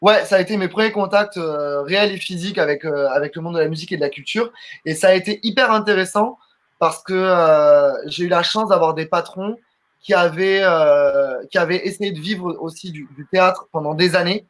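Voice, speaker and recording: A young man in his twenties with a somewhat high voice tells his story in a relaxed interview. He is from southern France and talks loosely, full of fillers, restarts and repeated words. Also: a little fast. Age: 20s. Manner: chatty, many fillers and restarts. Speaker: guest comedian. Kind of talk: casual interview answers.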